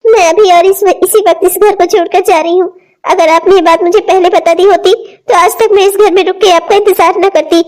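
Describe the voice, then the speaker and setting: Woman doing a jilted young wife, super high voice, pleading and teary. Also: steady tone, a little fast, darkish tone. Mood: hurt. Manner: pleading, tearful outbursts. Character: young wife Sudha, betrayed and desperate. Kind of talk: amateur audio drama acting.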